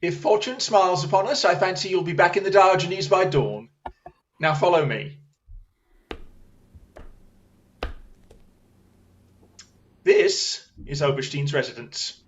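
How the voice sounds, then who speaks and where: An actor playing a brilliant, wry, self-assured Victorian detective in an audio drama, with a crisp, theatrical, commanding manner. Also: mid-range voice, lively intonation, normal speed, lo-fi sound, close to an everyday voice. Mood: brisk, commanding.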